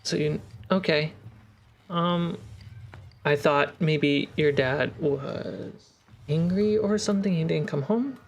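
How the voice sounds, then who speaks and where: A woman's voice: deep voice, slow pace, slight background noise. A game master voicing a worried mother, a shopkeeper's wife, who asks hesitant, trailing questions. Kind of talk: voicing a character in a tabletop game.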